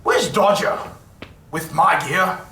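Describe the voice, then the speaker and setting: Man as a sharp, high-voiced bullying burglar. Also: expressive, slow, a little hiss or hum, full character voice. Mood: threatening, irritated. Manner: rough, threatening, lower-class speech. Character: menacing, bullying male burglar. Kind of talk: staged radio play acting. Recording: echoey stage recording from far off.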